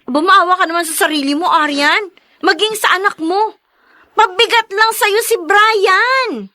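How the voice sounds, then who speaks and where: A woman's voice: extremely high pitch, measured pace, pushed and bright, heavily acted. An actress in a Tagalog radio drama playing a protective, blunt, exasperated young woman friend. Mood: admonishing. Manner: emphatic, admonishing.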